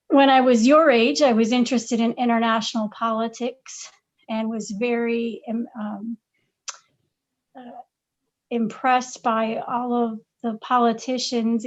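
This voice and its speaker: A woman's voice: on the high side, lo-fi sound. A middle-aged woman from Massachusetts answering podcast questions about herself, hesitant with many fillers and restarts. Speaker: podcast guest, parent. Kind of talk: casual interview answers.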